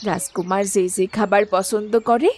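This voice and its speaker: A woman's voice: mid-range voice, fairly even intonation, normal speed, a little hiss or hum, close to an everyday voice. A woman voicing a devoted village mother in a cartoon, wistfully talking to herself. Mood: hopeful.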